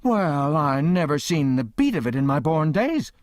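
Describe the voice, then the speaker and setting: A man voicing a sharp-tongued older Southern woman who scolds in a high, shrill tone. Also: expressive, moderate pace, no room sound, studio quality, natural voice. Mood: indignant.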